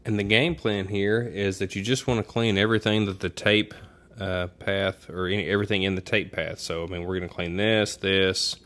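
A man's voice: low-pitched, fairly even intonation, moderate pace, a bit bright. A man giving a casual how-to narration in an informal, folksy way, thinking aloud as he goes, recorded in a room at home. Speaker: DIY demonstrator.

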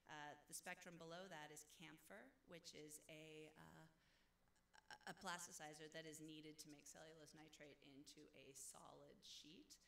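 A woman's voice: deep voice, steady tone, unhurried, creaky, pushed and bright. A woman scientist giving a conference talk with slides, conversational, with many "uh" fillers and self-corrections. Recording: echoey room, faint background noise.

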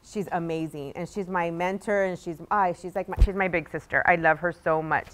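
A woman speaking on a panel about an anthology she edited, telling a story in a lively, enthusiastic way, in a museum meeting room. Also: lowish voice, fairly quick. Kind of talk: casual panel talk.

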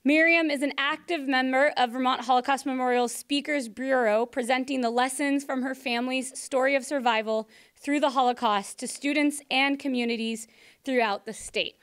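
Woman, high voice, smooth clear tone, event host, partly read public introduction, indoor meeting room. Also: steady tone, moderate pace, fairly bright tone.

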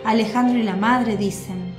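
A woman giving measured, scripted narration for a radio drama. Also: on the high side. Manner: measured, descriptive. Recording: echoey with music bed.

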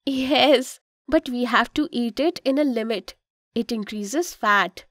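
A woman's voice: on the high side, slow pace, no echo, clean studio sound. A woman voicing a kind, instructive mother in a children's cartoon, with an Indian accent and a patient, explanatory manner. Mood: explaining. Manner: patient, explanatory, gently cautioning.

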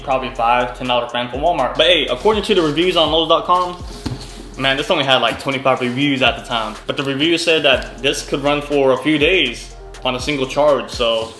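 A young man casually reviewing tools in his home garage, excitable and slangy, talking straight to a desk camera. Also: mid-range voice. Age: young adult. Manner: excitable, slangy, laughs often. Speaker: car and tool vlogger. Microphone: camera on desk, seated facing it. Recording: echoey garage with background music.

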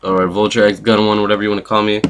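A man chatting casually as a prank caller and host, in a hesitant, halting way. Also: on the low side, flat delivery, a bit bright, a little hiss or hum. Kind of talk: casual chat.

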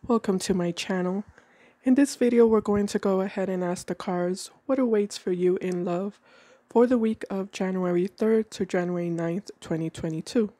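A woman with a cold reads tarot cards at a table indoors, her tone mellow and dark. She talks calmly and measuredly, slowing down as she names each card. The sound is dry and close, with little room in it. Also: mid-range voice, no echo. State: has a cold. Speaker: tarot reader. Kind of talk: tarot reading monologue.

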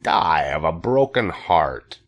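A man's voice: low-pitched, big pitch swings, full character voice. A man voicing a grumbling old male rat in a storybook, sarcastic, self-pitying and sneering. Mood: mocking. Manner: sneering, drawn-out mockery.

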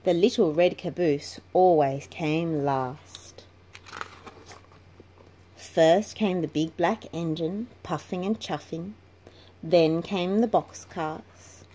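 A woman's voice: on the low side, slow, dark tone. A woman reading a children's picture book aloud in a storytelling style, in a noisy home recording. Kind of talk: slow read-aloud storytelling.

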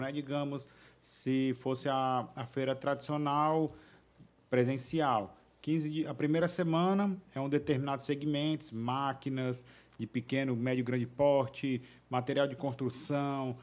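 Young male podcast guest, mid-pitched voice, halting delivery full of fillers, studio boom mic. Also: a little mellow. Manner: hesitant, many fillers and restarts. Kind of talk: casual interview answers. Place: small podcast studio with curtain backdrop. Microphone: studio mic on boom arm.

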